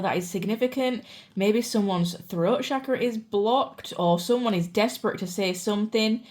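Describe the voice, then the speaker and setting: A woman's voice: mid-range voice, darkish tone. Chatty woman reading tarot with a sore throat. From United Kingdom. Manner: chatty and reassuring, says "you know" and "guys" a lot. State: sore throat, voice cracking at times. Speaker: tarot reader. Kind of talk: pick-a-card tarot reading.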